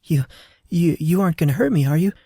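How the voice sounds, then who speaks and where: A woman's voice: deep voice, fast talker, mellow, breathy edge, dry recording, voice pushed up. A man voicing a frightened young female nurse who stammers and quivers with fear.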